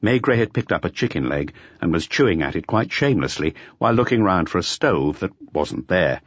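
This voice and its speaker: Male storyteller for crime audiobook: lowish husky voice, expressive range, dry but slightly muffled recording. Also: brisk, no echo. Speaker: audiobook narrator. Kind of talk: scripted narration of a detective novel.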